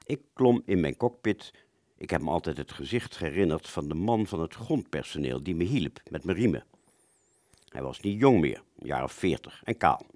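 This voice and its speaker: A man's voice: sits low, expressive, normal speed, a little mellow, no echo, studio quality. A man playing a wartime fighter pilot who looks back on a mission, telling it reflectively and hesitantly in a radio play. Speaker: storytelling narrator of a radio play. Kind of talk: reflective first-person radio drama narration.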